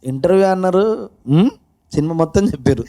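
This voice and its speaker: A man with a slightly high voice speaks casually in a film promotion interview. His remarks come in short, halting phrases. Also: a crowd in the background.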